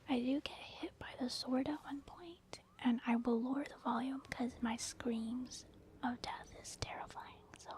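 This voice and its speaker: Soft whispery girl doing asmr game commentary, highish voice, pretty flat, bit of noise behind. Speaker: gaming video host.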